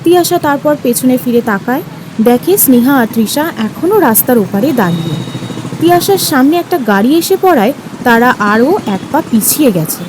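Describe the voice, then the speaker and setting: A woman's voice: high voice, animated, normal speed, background noise. A woman reading scripted narration for an audio story.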